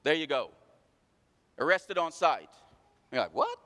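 A man's voice: fairly high pitch, sing-song, a little slow, bright, forceful tone, big-room sound. A man preaching a sermon, calling out his congregation with rhetorical questions. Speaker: pastor.

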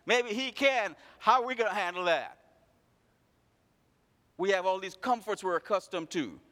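High-voiced preacher in a reverberant hall. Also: male voice, lively intonation, moderate pace, bright, forceful tone. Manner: preaching with rhetorical questions to the congregation. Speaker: pastor. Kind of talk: sermon.

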